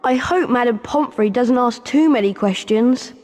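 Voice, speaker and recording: An actor plays a dutiful schoolboy wizard in a video game. The voice is youthful, earnest and plain-spoken, with clear music playing behind it. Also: medium pitch, normal speed, a little mellow, lightly acted. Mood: worried, resigned.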